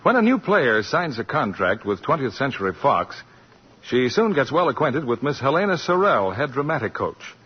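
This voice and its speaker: A male announcer from a 1940s broadcast, reading a scripted commercial with polished, salesman-like delivery. His voice is a bit husky and his pitch moves a lot. The sound is muffled and lo-fi. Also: big pitch swings, normal speed. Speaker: radio announcer.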